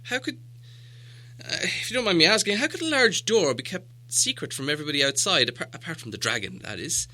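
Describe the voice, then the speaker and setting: A man voicing a small, polite, curious hobbit with a squeaky voice and a hesitant, stammering manner. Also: sing-song, quick pace, bright, forceful tone, exaggerated voice. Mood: curious, flustered.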